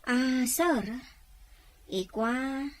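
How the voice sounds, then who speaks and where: A woman's voice: high voice, lively intonation, very slow, no echo, heavily acted. A woman playing a polite, hopeful farm employee in an Ilocano audio drama. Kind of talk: Filipino radio-drama dialogue in Ilocano.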